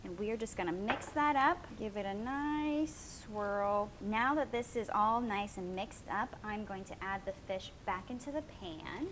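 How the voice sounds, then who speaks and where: A woman's voice: medium pitch, a little slow, few pauses, a bit bright, a little hiss or hum, poor audio. A woman talking casually to viewers as a vlog host, walking through food and fish with playful, informal exclamations. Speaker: fishing and cooking vlog host. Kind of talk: casual vlog, cooking walkthrough.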